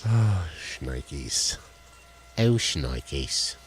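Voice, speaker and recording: A man with a deep voice, co-hosting a casual live stream and joking as he fiddles with his tech. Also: very animated, drawn-out words, a little hiss or hum. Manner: rambling, jokey, fiddles with tech while talking. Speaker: co-host of a live stream show. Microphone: headset with headphones.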